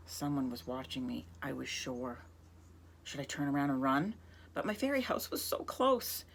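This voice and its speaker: A woman's voice: sits low, a little hiss or hum. A woman reading a picture book aloud expressively, now and then speaking to young listeners. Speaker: reader.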